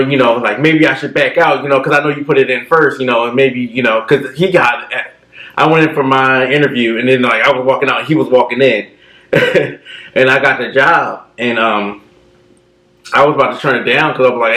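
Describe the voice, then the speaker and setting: A man in his thirties telling stories in a relaxed, casual way, filling his talk with "you know". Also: mid-range voice, reverberant, poor audio. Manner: relaxed storytelling with many fillers. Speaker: co-host. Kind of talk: casual chat.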